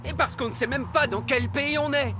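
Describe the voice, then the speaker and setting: A man's voice: very high voice, moderate pace, music in the background. A man voicing an enthusiastic, bumbling elf scout leader in a French cartoon dub, hearty, emphatic and instructive. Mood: flustered.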